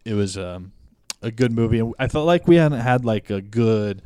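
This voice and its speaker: A man's voice: medium pitch, lively intonation, normal speed, dark tone, clean tone, no room sound, studio quality. A man co-hosting a podcast, talking in a laid-back, hesitant way with many fillers. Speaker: podcast co-host. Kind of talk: casual chat.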